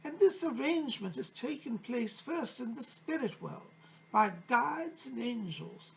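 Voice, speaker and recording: A woman's voice: on the high side, very animated, measured pace, gravelly, full character voice, rough recording. A woman voicing an effusive, motherly female spirit of a bygone era, teaching in a theatrical, genteel, endearing manner. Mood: earnest, encouraging.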